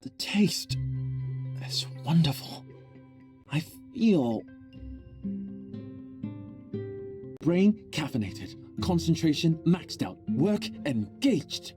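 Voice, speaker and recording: A man playing an eccentric, absent-minded scientist in a video game, rambling and excitable about his research. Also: a little high, slow pace, music in the background. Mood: pleased, intense. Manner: rambling, excitable, self-absorbed. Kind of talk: video game character acting.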